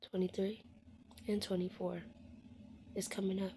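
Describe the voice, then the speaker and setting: A woman in her twenties speaking candidly to her viewers, frank and heartfelt, often dropping to a soft hushed voice and swearing bluntly. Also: mid-range voice, little pitch movement, measured pace, slight background noise. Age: 20s. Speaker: vlogger. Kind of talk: candid personal monologue to viewers.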